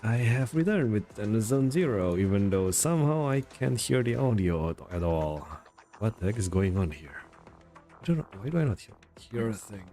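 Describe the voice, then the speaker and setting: A man's voice: fairly low pitch, very animated, moderate pace, dark tone. A man livestreaming a game in casual chat, rambling and self-deprecating as he searches for words, with game music behind him. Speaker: game streamer. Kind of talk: casual live stream chat.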